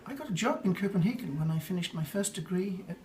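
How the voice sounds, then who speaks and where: A man's voice: sits high, lively intonation, fast, darkish tone. An older man with an English accent, answering interview questions in a reflective, rambling way with fillers and restarts. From England.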